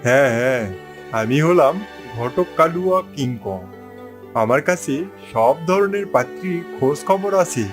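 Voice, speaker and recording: Man voicing a brash, higher-pitched cartoon matchmaker. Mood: boastful. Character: brash, boastful animal village matchmaker. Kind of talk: exaggerated cartoon voice acting.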